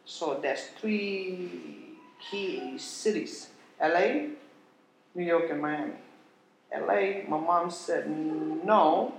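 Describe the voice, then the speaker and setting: A man's voice: on the high side, gravelly, slight background noise. Blunt, swearing man from Indonesia. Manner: blunt, swears freely, jokes. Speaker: podcast guest. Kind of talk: casual storytelling. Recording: echoey room.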